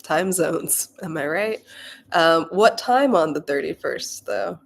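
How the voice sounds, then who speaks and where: A woman's voice: sits low, lively intonation, slow, gravelly, a little hiss or hum. A young woman with a cold and a nasal voice, chatting casually on a coding livestream with lots of filler ums. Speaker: livestreaming coder.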